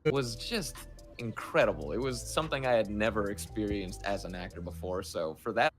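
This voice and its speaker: A man, a voice actor, talking casually and emphatically as an interview guest, telling stories in an animated way. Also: fairly low pitch, fairly quick. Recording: music playing behind the voice.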